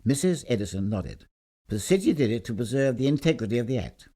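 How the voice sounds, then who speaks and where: A man's voice: mid-range voice, very animated, a little fast, dark tone. A man reading a scripted short story aloud in a theatrical, emphatic storytelling manner. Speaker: story reader.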